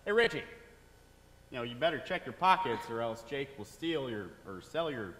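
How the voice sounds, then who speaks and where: Mid pitched sarcastic jazz guy, swingy delivery, slangy, man acting stage play, echoey hall. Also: very animated, normal speed, a bit bright. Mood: mocking. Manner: mocking, slangy. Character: cynical, teasing barfly jazz musician. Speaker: actor in staged reading. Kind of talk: stage drama reading.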